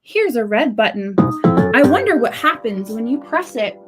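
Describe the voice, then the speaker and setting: A woman's voice: fairly high pitch, sing-song, normal speed, over loud music. A woman reads a children's picture book aloud in a playful, theatrical, interactive style, full of dramatic exclamations.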